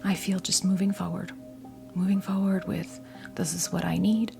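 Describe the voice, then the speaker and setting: An American woman in her fifties gives a whispered ASMR tarot reading as a kindly witch. Her soft, breathy whisper often drops into a creaky fry. She is gentle and reassuring, pausing and feeling her way through phrases, with music underneath. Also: medium pitch, little pitch movement. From New Jersey, United States. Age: 50s. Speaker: ASMR performer role-playing a tarot reader.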